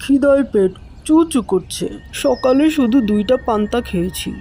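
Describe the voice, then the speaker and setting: Woman as a mellow, highish young village man. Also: lively intonation, moderate pace, soft background music, close to an everyday voice. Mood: anxious. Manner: self-talking, exclaiming, plaintive. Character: poor, hungry young village man, anxious and greedy. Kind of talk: cartoon fairy-tale voice acting.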